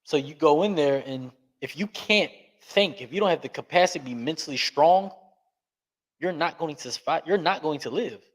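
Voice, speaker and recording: A man giving an interview on a podcast, talking earnestly and emphatically, speeding up and slowing down to stress points. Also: on the high side. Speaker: podcast guest. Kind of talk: candid interview talk.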